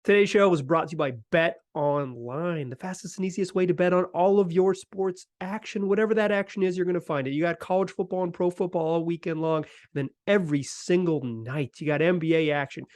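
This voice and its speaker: A man's voice: sits high, fairly quick, hardly any pauses, a little mellow, dry recording. A man hosting a sports podcast, talking informally and repeating phrases for emphasis, close on the microphone. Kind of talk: casual sports talk and analysis.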